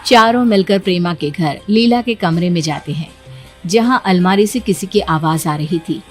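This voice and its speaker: Low-pitched female story narrator. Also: moderate pace, faint music behind. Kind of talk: scripted cartoon story narration.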